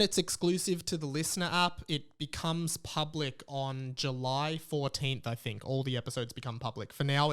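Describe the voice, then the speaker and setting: An Australian man hosting a solo podcast in a casual, rambling and informal way, with exasperated asides. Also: a little high. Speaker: podcast host.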